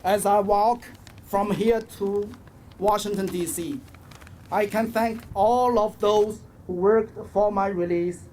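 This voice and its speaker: Male activist speaker, slow and halting prepared address, outdoor rally with street noise. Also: high voice, warm tone, low-quality recording. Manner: measured, halting phrasing with pauses.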